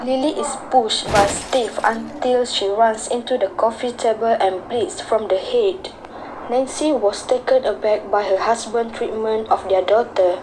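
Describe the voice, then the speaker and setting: A woman's voice: fairly high pitch, steady tone, unhurried. A woman reading scripted radio drama narration in a dramatic storytelling manner. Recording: clear background noise.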